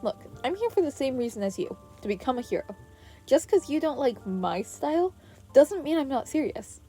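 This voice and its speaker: A woman's voice: high voice, sing-song, moderate pace, mellow, soft background music, pitched above the performer's own voice. A woman voicing a self-assured, wry teenage boy hero with a casual, dry manner. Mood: cocky, defiant.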